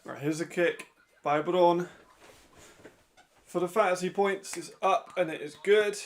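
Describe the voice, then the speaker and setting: A man hosting a watch-along, giving casual live commentary with a raspy voice, chatty and laughing often. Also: high-pitched, unhurried. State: hay fever.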